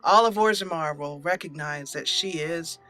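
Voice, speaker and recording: A woman hosting a let's play, telling her character's story over gameplay with drawn-out emphasis. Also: sits low, fairly bright tone. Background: faint game music.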